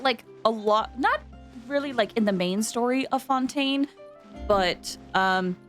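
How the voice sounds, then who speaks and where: A woman's voice: on the high side, a bit bright. A woman hosting a game livestream, chatting casually and informally with viewers, often drifting into asides.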